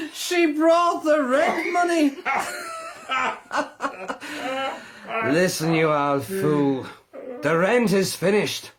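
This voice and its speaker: High-pitched, blustering Irish land agent. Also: male voice, animated, takes it slowly, crowd noise. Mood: mocking. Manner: blustering, sneering, domineering. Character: bullying, scornful male estate agent, greedy and contemptuous. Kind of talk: period radio drama acting.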